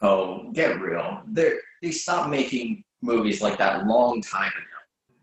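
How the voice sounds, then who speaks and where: A man acting a reluctant, sensible friend with a halting, incredulous manner in a readers theatre comedy, recorded in an echoing room. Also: on the low side, animated. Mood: dismissive. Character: exasperated, reluctant male friend, sensible but awkwardly polite.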